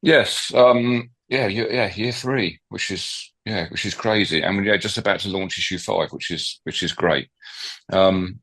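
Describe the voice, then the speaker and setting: Deep-voiced middle-aged guy on a podcast, lots of ums and restarts, easygoing chat. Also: measured pace, fairly bright tone, slightly rough. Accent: non-local, not from Swansea. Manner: hesitant, many fillers and restarts. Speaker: podcast guest, newspaper founder. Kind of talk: casual conversational interview.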